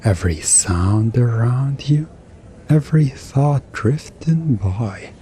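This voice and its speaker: Slow mellow guy doing hypnosis asmr, soothing and deliberate, bit of background hiss. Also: mid-range voice, lively intonation. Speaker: hypnotist performer.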